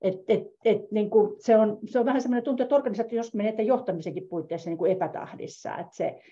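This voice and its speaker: A woman's voice: mid-range voice, dark tone. A middle-aged woman on a video call, speaking reflectively and repeating words as she thinks. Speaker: meetup participant. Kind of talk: casual discussion. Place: home room, indoors. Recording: dull lo-fi video call audio.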